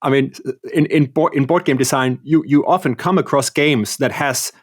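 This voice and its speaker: A man's voice: medium pitch, moderate pace. A man from Denmark hosting a podcast interview, asking questions in a thoughtful, slightly hesitant way. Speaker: podcast host.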